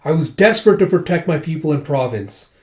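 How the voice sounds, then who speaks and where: A man's voice: on the high side, lively intonation, fairly quick, darkish tone, natural voice. A man voicing a desperate, pleading male lord of a threatened province in a retold story. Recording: roomy, echoing sound.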